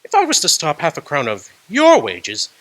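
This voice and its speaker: A man's voice: a little high, huge pitch swings, moderate pace, fairly bright tone. An actor playing a stingy, cold old male miser in a radio drama, curt and scornful, barking orders. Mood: grudging. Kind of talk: stage radio drama acting.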